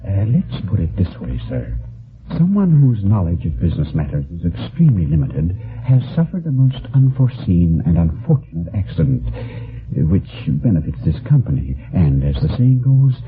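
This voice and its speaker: A man's voice: low-pitched, very animated, normal speed, a little hiss or hum. An actor playing a short, fat, smugly polite businessman villain in a radio drama, with a purring voice and a pompous, wordy manner. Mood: oily, smug. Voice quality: smooth purring. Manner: pompous, wordy, falsely courteous. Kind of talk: old-time radio drama acting. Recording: thin, phone-like vintage radio recording.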